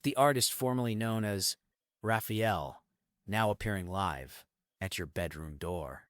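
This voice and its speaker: A man's voice: lowish voice, a little slow, a bit bright, no room sound, studio quality. A man playing a playful teenage boy who teases his best friend with mock formality. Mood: teasing. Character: teasing, playful thirteen-ish boy, girl's best friend.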